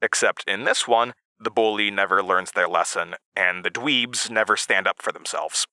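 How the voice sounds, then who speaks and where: A rueful horror podcast narrator, bright voice, super dry sound, confessing stuff like he's talking right to you. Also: lowish voice, normal speed, no room sound. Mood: reflective, wry. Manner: conversational, hesitant asides to the listener. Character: Denver, earnest, rueful man recounting a childhood horror. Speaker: narrator of a horror audio drama. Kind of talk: confessional first-person dramatic narration.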